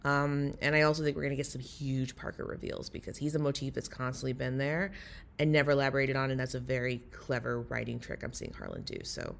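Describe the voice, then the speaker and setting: A woman's voice: rumbling low, brisk, vocal fry. A woman recording a listener voice message of enthusiastic, earnest fan commentary. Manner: excited, earnest.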